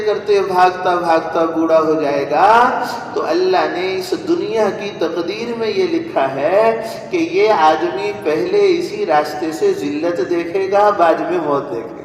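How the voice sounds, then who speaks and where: A man preaching a religious sermon in a deliberate manner, with rhetorical repetition and pauses. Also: sits high. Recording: echoey hall from far off, noisy.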